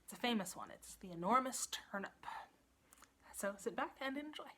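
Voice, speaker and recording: A woman with a fairly high voice tells a children's tale. Her delivery is very animated, rising and falling widely as she builds drama through emphasis. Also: moderate pace, slightly rough. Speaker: librarian storyteller.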